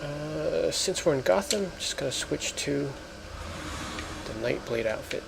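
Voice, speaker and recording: A man giving halting, explanatory let's play commentary as host of a gaming video. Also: a little high, lively intonation, vocal fry, background noise.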